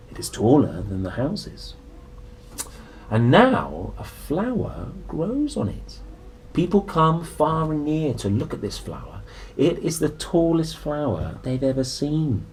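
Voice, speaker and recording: A young man reading a picture book to children expressively, with dramatic pauses, soft exclamations and little asides. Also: mid-range voice, a little hiss or hum. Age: young adult. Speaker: storybook reader and presenter. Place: small indoor room.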